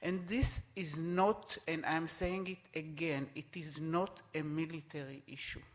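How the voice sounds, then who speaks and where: A middle-aged man speaking on a conference panel, emphatic and repeating his points, with halting restarts. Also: on the high side, steady tone. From Israel.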